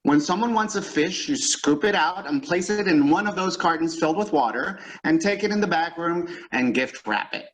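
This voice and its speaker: An actor plays a harried shop supervisor in a radio comedy. His voice is a little high for a man, plain and instructive. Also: animated, moderate pace, few pauses, a bit bright, poor audio. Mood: weary, instructing. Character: harried, matter-of-fact male pet department supervisor. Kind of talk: old-time radio comedy acting.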